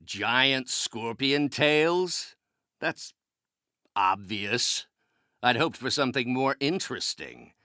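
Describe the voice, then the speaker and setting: A man's voice: medium pitch, sing-song, slow pace, bright, forceful tone, no echo, studio quality, heavily acted. A male actor voicing a brilliant, bitter middle-aged scientist turned vengeful villain in a video game, with articulate, theatrical delivery. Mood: thoughtful. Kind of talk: dramatic video game acting, isolated voice lines.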